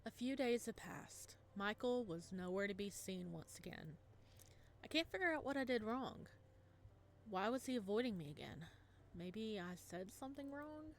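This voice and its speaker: A woman's voice: medium pitch, animated, moderate pace, a little hiss or hum, close to an everyday voice. Alex, voiced by a woman, hesitant young dad. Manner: hesitant, self-conscious. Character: young adult male teacher, single dad. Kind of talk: reading a visual novel aloud.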